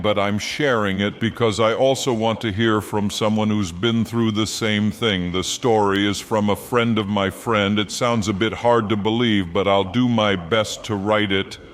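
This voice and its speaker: A man reading an anonymous forum story in a plain, steady voice. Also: lowish voice, measured pace. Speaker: narrator reading forum posts. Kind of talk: scripted narration.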